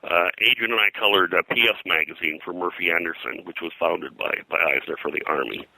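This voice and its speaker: Older American male interviewee, low-pitched and very sharp in tone, anecdotal and meandering, casual reminiscence. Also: vocal fry, no room sound, low-quality recording. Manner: rambling, anecdotal, halting mid-sentence. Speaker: interview guest, comics colorist and radio historian.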